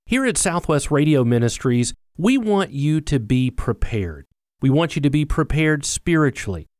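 Host: man, friendly scripted radio announcer, slightly raised pitch, close and dry. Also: sing-song, normal speed, darkish tone, no echo.

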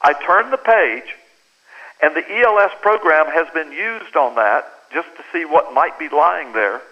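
Animated man over a phone line. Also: a little high, a little slow. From United States. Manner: animated storyteller who acts out quoted dialogue. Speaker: author guest. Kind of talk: talk radio interview, storytelling.

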